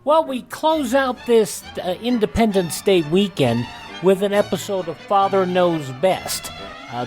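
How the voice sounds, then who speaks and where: A man with an American accent hosting a radio show and presenting items in a scripted but informal way. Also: a little high. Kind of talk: presenting a this-day-in-history segment.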